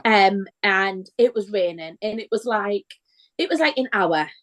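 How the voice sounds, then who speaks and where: A woman co-hosting a casual podcast chat, bubbly and quick to laugh. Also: mid-range voice, fairly bright tone. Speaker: podcast co-host.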